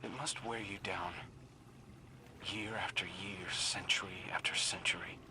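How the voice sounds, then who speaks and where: Low, subdued male confidant. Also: fairly even intonation, a little slow, background noise. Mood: somber, sympathetic. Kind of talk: video game character dialogue.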